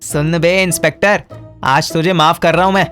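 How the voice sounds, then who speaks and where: A man's voice: fairly high pitch, fairly even intonation, moderate pace, fairly bright tone, faint music behind, natural voice. Man acting a cocky, bluffing young troublemaker. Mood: cocky threatening.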